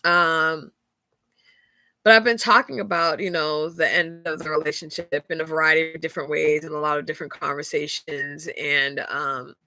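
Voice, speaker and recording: A woman's voice: sits low, steady tone, moderate pace, sharp tone, dry recording, low-quality recording. A woman, hosting a faith-centered podcast and talking candidly, with lots of fillers. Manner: candid, chatty, frequent fillers and laughs. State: stuffy nose. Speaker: podcast host. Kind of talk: casual faith-centered chat.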